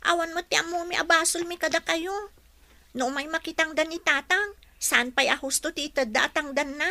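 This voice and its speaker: A plaintive young girl in a radio soap, really high sharp voice, dry studio sound. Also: steady tone, brisk, no room sound. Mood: hurt, indignant. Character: aggrieved young woman from a poor family, protesting. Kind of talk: radio drama acting.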